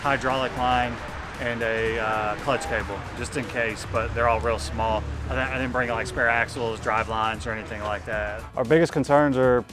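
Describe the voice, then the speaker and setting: A man in a casual interview, relaxed and rambling as he describes his off-road vehicle. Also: medium pitch, moderate pace, music in the background. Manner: relaxed and rambling, many fillers. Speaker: off-road competitor.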